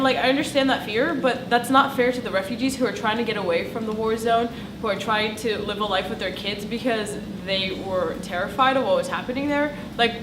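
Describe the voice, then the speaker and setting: A woman in her late teens, a high school student, answering casually in an interview. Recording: echoey noisy room, distant mic.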